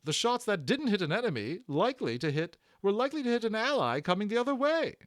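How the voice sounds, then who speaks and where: A man voicing a brisk, indignant little woman nurse in a storybook, scolding and exclaiming. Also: high-pitched, sing-song, no room sound, clean studio sound, pitched above the performer's own voice. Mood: exasperated.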